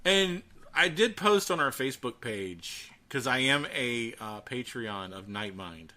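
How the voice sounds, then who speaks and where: Bright-voiced man chatting casually on a podcast. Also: mid-range voice, animated, a little slow. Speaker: podcast co-host.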